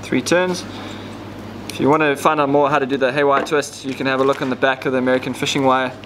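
A man's voice: mid-range voice, level tone, quick pace, noisy background. A man, casually explaining step by step how to rig fishing gear, with plenty of ums. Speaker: fishing tackle demonstrator. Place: indoor tackle shop counter.

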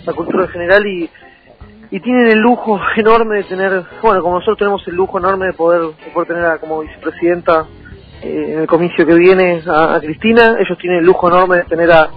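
A man with a Rioplatense Argentine accent talking politics as a radio interview guest, emphatic and repetitive, with halting phrasing. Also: high-pitched, steady tone. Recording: tinny phone-like sound over music.

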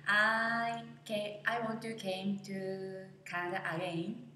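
A young woman from Japan with a Japanese accent, introducing herself in halting learner English. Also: mid-range voice, flat delivery, sharp tone, faint music behind. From Osaka, Japan. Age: young adult. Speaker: student. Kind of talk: short self-introduction to camera. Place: classroom, standing before camera. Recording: echoey classroom, some background noise.

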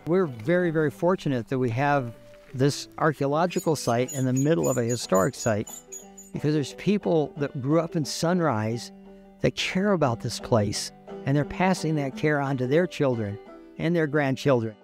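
An older man gives measured explanations as an archaeologist in a documentary interview. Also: on the high side, a little mellow. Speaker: archaeologist, stone-tool analyst. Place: outdoors, open yard at dig site. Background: music.

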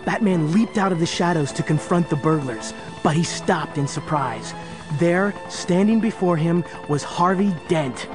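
A man's voice: fairly high pitch, unhurried. A man narrating an audio story in a dramatic, scripted storytelling style. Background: music.

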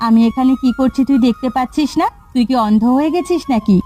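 একজন নারী কার্টুনে এক ব্যস্ত, খিটখিটে গ্রামের মায়ের চরিত্রে ধমকের সুরে কথা বলছেন।. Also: female voice, sits high, animated, fast, dark tone. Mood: irritated, scolding. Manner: snappish, scolding. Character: irritable, busy village mother. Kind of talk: Bengali cartoon acting. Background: background music.

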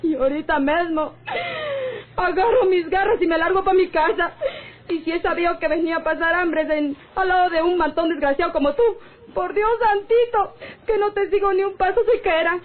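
Defiant Mexican peasant wife, very shrill pitch. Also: female voice, moderate pace. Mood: tearful, indignant. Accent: rural northern Mexican. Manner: plaintive, rustic folksy speech. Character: defiant, aggrieved rural peasant wife, sharp-tongued. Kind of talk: old Mexican radio drama acting. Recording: tinny, band-limited old radio serial.

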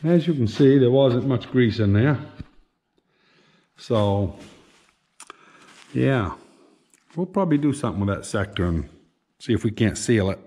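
A man's voice: mid-range voice, lively intonation, a little slow, long pauses, mellow. An older man narrating a tractor engine repair in a large workshop, thinking aloud in a plain-spoken way as he works, often some way from the camera mic. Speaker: farm vlogger. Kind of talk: casual how-to narration. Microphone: camera mic, sometimes across the shop. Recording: noisy shop recording.

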